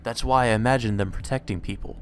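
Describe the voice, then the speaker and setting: A man's voice: medium pitch, fast. A man doing a let's play, reading a visual novel's dialogue and narration aloud. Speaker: let's player. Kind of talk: reading game text aloud. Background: game music.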